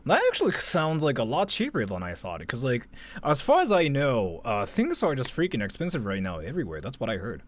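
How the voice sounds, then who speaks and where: A man's voice: medium pitch, wildly swooping pitch, brisk. A man giving casual, off-the-cuff reaction commentary as a livestream host, rambling conversationally and leaning on filler words like "like".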